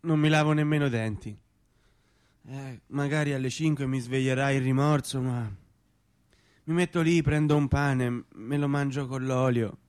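A man's voice: medium pitch, steady tone, moderate pace, a little mellow, clear, resonant tone, close to an everyday voice. An actor playing a nostalgic, slightly pompous provincial man, mixing inflated words with homely talk in a stage drama. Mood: resigned.

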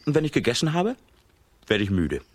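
Lazy, contented farm lad, animated pitch. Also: male voice, brisk. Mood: contented, drowsy. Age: young adult. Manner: leisurely, self-satisfied. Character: lazy, food-loving seventeen-year-old Russian farm lad, easygoing. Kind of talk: children's radio play acting.

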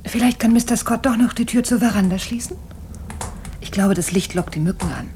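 An actress in a German radio drama playing an elegant, self-assured American widow with a flirtatious, teasing manner. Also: female voice, on the high side, fairly quick, dark tone, a little hiss or hum, close to an everyday voice. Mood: teasing, intimate. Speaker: actor.

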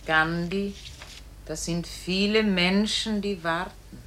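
An actress playing a calm, otherworldly inner voice that summons a man, solemn and measured, in a radio play. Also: lowish voice. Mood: solemn, assured. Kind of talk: German radio play acting. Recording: noisy old radio play recording.

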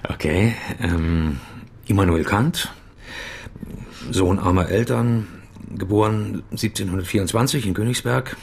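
An actor playing a weary, brooding journalist in his late forties with a gravelly voice, in a naturalistic radio drama. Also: fairly low pitch, a little slow, heavily acted. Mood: dry, explanatory. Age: late 40s. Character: weary brooding male journalist nearing fifty, jealous and reluctant.

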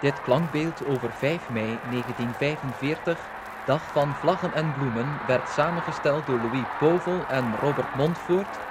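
A Dutch man reading credits as a radio announcer in a formal, measured 1950s broadcast style. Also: mid-range voice. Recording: very noisy vintage 1950 radio recording.

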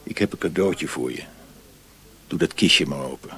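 Radio play role: burnt-out doctor on opium, low-pitched, bleak, muttering, fatalistic. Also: male voice, moderate pace, bright, forceful tone. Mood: gloomy, shaken. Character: weary, opium-craving former asylum doctor, gloomy and haunted. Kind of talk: radio drama acting.

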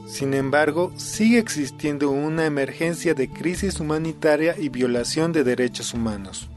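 A man reading a scripted radio news report in a measured, formal way. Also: a little high, faint music behind.

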